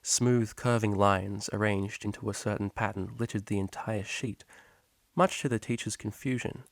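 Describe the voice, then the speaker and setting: A man with a fairly low voice narrates a piece of fiction from a script. The recording is very dry, with no room sound. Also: steady tone, moderate pace, no room sound. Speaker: story reader.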